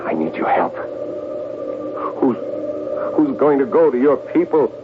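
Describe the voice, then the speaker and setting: Agitated middle-aged agent, paranoid, dramatic halting delivery, lively intonation, tinny vintage radio drama broadcast. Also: male voice, mid-range voice. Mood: desperate. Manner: intense, insistent, dramatic, halting. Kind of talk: 1970s radio drama acting. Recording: muffled, noisy old radio broadcast.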